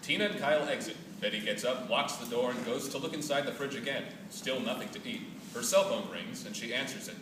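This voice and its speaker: A man's voice: mid-range voice, animated, fairly quick, hardly any pauses. A man reading scripted stage directions as narrator at a staged play reading. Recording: echoey hall from far off, noisy.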